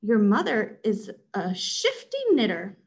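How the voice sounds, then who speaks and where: A woman's voice: mid-range voice, very animated, measured pace. A woman voicing a pompous male supervillain who accuses people theatrically, in a children's storybook reading. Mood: accusing, theatrical. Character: pompous booming male supervillain bullying shoppers.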